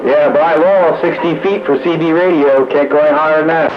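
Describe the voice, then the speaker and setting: A muffled radio signal with clear static carries a man's fairly high-pitched voice. He is blunt and matter-of-fact, chatting informally with other operators. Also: fairly even intonation, fairly quick. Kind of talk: casual radio chat.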